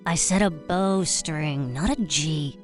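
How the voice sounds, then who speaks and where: Low, sly elf archer. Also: female voice, slow, soft background music. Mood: dry, commanding. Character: tough, sly female night elf archer. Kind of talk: video game unit barks.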